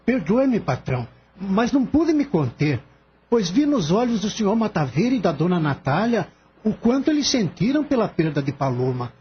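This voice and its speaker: A man playing a gentle, earnest young veterinary assistant in a radio drama, polite and heartfelt. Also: sits high, very animated, normal speed, husky, exaggerated voice. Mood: apologetic, moved. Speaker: voice actor. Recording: dull lo-fi audio, faint background noise.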